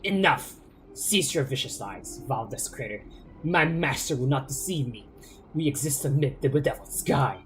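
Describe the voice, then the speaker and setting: A man voicing a grandiose, vengeful spirit that guards a ruined bunker, reading its lines in a slow, theatrical, declamatory way. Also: mid-range voice, animated, fairly bright tone, slight background noise, close to an everyday voice, rough recording. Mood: wrathful.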